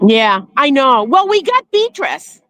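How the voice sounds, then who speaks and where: High-voiced affectionate woman, dry sound. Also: big pitch swings, measured pace, no room sound. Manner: warm, affectionate, heartfelt. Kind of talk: casual chat.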